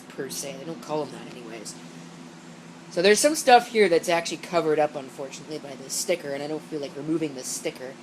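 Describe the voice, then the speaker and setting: A woman with a low-pitched voice talks through a product in a plain, rambling way. She reads details off and corrects herself as she goes. The amateur camera microphone picks up a steady noise behind her. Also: poor audio. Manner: rambling, matter-of-fact, self-correcting. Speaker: gadget reviewer showing an unboxing. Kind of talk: casual unscripted product walkthrough, reading packaging aloud. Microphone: built-in camera microphone. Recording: steady background hum, amateur camera audio.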